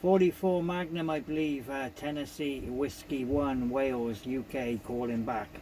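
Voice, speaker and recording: A man's voice: medium pitch, fairly even intonation, unhurried. A man from the United Kingdom making polite CB radio calls, repeating his call signs and phrases. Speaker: CB radio operator. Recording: noisy CB radio recording. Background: radio noise.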